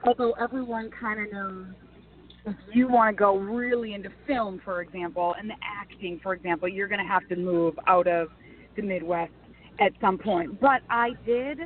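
A woman's voice: mid-range voice, normal speed. A woman from Minnesota, a TV and news personality, chatting animatedly as an interview guest. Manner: chatty and animated, frequent restarts. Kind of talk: casual interview answers. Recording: tinny phone-like line.

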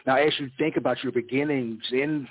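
A man hosting a poetry radio interview, who asks questions and praises his guest with warm enthusiasm. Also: medium pitch, steady tone, brisk, husky. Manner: warmly enthusiastic and encouraging. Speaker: radio show host. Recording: tinny phone line.

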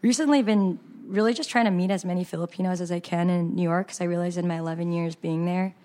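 A woman's voice: on the low side, fairly even intonation, fast talker, warm tone. Chatty woman chef, laughs often. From San Jose, California. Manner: chatty, laughs often, trails off mid-sentence. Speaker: chef, podcast guest. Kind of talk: casual chat.

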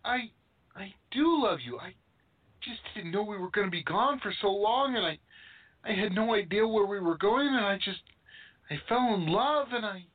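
A man's voice: sits high, lively intonation, moderate pace, phone-quality sound. An actor playing an apologetic, lovestruck young man who stammers and hesitates, in a radio play. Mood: contrite, flustered.

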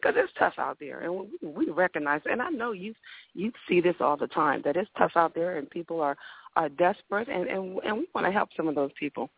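A raspy woman hosts a casual radio talk show, upbeat and chatty, often repeating words as she goes. Her voice has a gravelly edge. It sounds dry and narrow, like it is coming over a phone line. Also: fairly low pitch, expressive, fairly quick, no room sound. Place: radio studio.

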